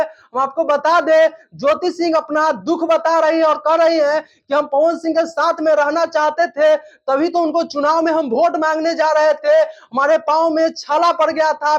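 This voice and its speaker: A news presenter giving an informal commentary on viral news in repetitive, wordy phrasing. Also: high voice. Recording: dull lo-fi recording.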